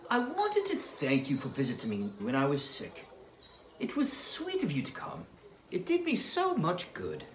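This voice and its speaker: Wistful, coaxing young suitor played by a man for a novel dramatization; very animated pitch, roomy hissy recording. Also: normal speed. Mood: earnest, coaxing. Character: ardent, wistful young suitor named John.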